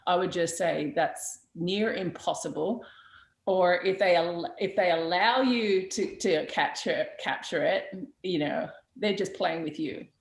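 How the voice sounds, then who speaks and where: A woman's voice: lowish voice, measured pace. A woman hosting a witchcraft talk show, answering viewer questions in a blunt, chatty way with frequent laughs, fillers and restarts. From Australia. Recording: roomy echo.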